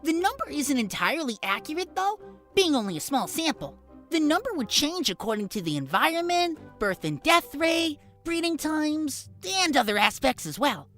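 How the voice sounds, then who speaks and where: A woman's voice: sits high, sing-song, normal speed, a bit bright. A woman voicing a clever, stubborn but laid-back schoolboy pony colt in a cartoon fan audio story. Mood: musing. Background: music.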